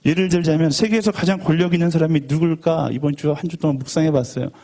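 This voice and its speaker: A man's voice: fairly high pitch, fairly even intonation, fast talker, warm tone. A young man preaching a sermon to a college group in a conversational way, with rhetorical questions and joking asides. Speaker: youth pastor.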